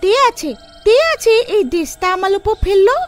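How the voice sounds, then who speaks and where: A woman's voice: very high voice, normal speed, soft background music. A woman playing a good-hearted, naive young witch girl in a children's cartoon story, with a childlike lisp that swaps consonants. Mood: startled.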